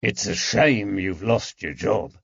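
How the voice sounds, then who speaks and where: A man voicing a patient police constable who asks his questions gently and coaxingly. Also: fairly low pitch, slow pace, gravelly, breathy edge, dry recording, full character voice. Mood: gentle. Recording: dull, dry audiobook recording.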